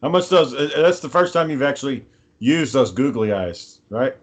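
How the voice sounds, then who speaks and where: A man co-hosting a podcast, chatting casually and asking questions in a hesitant, halting way. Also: medium pitch, lively intonation. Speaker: podcast co-host. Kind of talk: casual chat.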